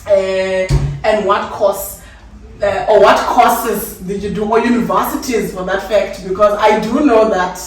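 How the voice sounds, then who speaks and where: A woman's voice: mid-range voice, steady tone, a little slow, faint music behind. A woman with a South African accent hosting a casual interview podcast, with an informal, playful, teasing manner. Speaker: host of an interview podcast series. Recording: echoey room, distant mic, faint noise.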